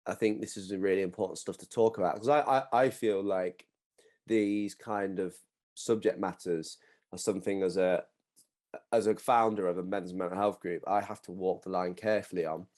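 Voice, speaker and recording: A man hosting a podcast who agrees warmly with his guest and calls him brother. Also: low voice, fairly even intonation, moderate pace. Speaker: podcast host, men's mental health group founder. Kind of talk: casual podcast conversation.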